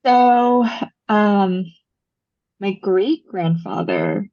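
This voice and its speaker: A woman's voice: medium pitch, drawn-out words. A young woman, a podcast guest who tells stories in a casual way, with many ums and likes. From Los Angeles, USA. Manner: storytelling, frequent ums and likes, laughs easily. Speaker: podcast guest, brand founder. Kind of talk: casual interview chat. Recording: dull muffled podcast audio.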